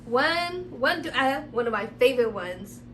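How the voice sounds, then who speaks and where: A woman's voice: high voice, expressive, a little slow, a crowd in the background. A woman podcast co-host in casual, joking chat, a little sick with a tickle in her throat. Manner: laid-back, joking, slangy. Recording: distant mic, faint hum.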